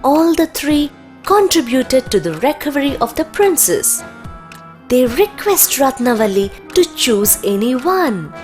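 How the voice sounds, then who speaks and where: A woman narrating a scripted children's story as the storyteller of an animated video. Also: sits high, measured pace, faint music behind.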